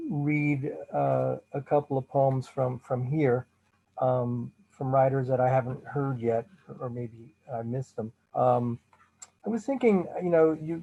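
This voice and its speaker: A male poet talks over a dull, lo-fi video call before reading. His voice is mellow and dark, and he hesitates often with fillers. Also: medium pitch, vocal fry. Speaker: guest poet. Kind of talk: casual remarks and poetry reading.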